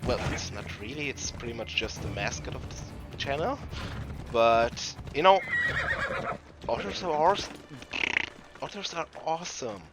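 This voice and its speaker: A man's voice: medium pitch, lively intonation, a little slow, long pauses. A man who is not a native English speaker, giving casual, rambling let's play commentary, often interrupting himself. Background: video game music and sounds.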